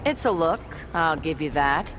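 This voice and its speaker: A woman's voice: sits low, animated, unhurried, very noisy. A woman playing a confident, wry superheroine mentor in a video game, teasing as she talks. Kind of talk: video game character dialogue. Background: loud music.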